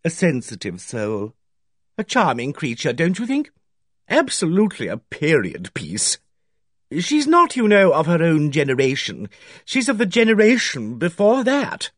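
A man reading aloud, voicing an effusive, fussy older male village gossip who talks in a theatrical, drawn-out way. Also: fairly high pitch, wildly swooping pitch, dry recording, studio quality, pitched above the performer's own voice, heavily acted. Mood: gushing.

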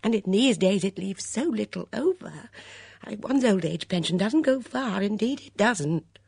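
A fluttery, anxious elderly spinster, as a woman reads her part, gushing in a breathy, half-whispered voice that climbs higher than the narration. Her rushed phrases swoop up and down. It is a storybook voice, close and dry. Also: wildly swooping pitch, darkish tone, no echo, heavily acted. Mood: bitter, agitated. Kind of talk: audiobook character voice.

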